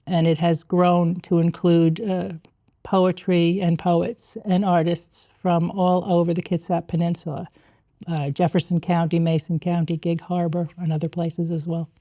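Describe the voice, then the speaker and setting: A woman's voice: fairly low pitch, level tone, measured pace, dark tone. A woman answering questions conversationally as a guest in an interview. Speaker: gallery president, interview guest.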